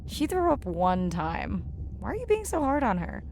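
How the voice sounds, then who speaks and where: An earnest young ocean researcher, faint noise. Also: female voice, medium pitch, wildly swooping pitch, moderate pace, gravelly, darkish tone. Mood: mildly defensive. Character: Mirai, warm young woman ocean diver and researcher. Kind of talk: naturalistic video game acting.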